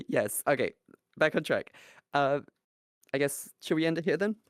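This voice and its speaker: Lively, sweary man on a podcast. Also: on the high side, a little slow, dry recording, clean studio sound. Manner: animated, swears, sidetracked. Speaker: podcast co-host. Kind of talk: casual chat.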